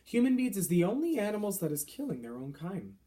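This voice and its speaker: A man voicing a big, friendly, gently indignant giant from a children's storybook, speaking in quaint broken grammar with mangled words. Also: high-pitched, very animated, quick pace, voice pushed up. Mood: indignant, lecturing.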